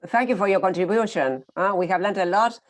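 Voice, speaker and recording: A woman's voice: mid-range voice, expressive, a little fast, slight background noise. A woman from Argentina, a teacher trainer, talking in a friendly, hesitant way with many fillers on a video call. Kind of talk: online round-table discussion.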